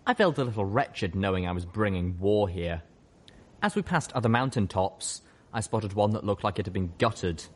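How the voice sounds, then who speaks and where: Low-voiced man narrating a story. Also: animated, moderate pace. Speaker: audiobook reader. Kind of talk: scripted dramatic story narration.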